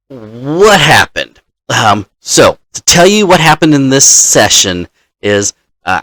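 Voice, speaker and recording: A man in the role of dungeon master, casually telling a story from his game session in a halting, deliberate manner. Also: medium pitch, a bit bright, no echo.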